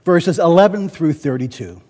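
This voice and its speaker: A man giving a dramatic, deliberate scripture reading with theatrical pauses in a church. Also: a little high, lively intonation, mellow. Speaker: scripture reader. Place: church, live service. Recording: echoey church hall, long reverb.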